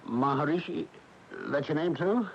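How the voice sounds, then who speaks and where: An actor playing a defensive, high-strung middle-aged workaholic husband with an American accent in a radio drama. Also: male voice, normal speed, natural voice. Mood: uneasy. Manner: agitated, defensive, sardonic. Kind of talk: 1980 radio drama acting and narration. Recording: noisy dull AM radio broadcast tape.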